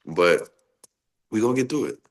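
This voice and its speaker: A man's voice: lowish voice, normal speed. Congested, nasal-sounding male poet. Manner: expressive, laughs often, rhythmic poetic delivery. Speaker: poet guest. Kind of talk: casual interview chat and spoken-word poetry.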